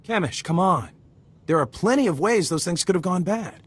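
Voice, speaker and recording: A breathy, fairly high male voice with lots of pitch movement. He is a supportive, peacemaking rebel in a video game. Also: animated, moderate pace. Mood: placating.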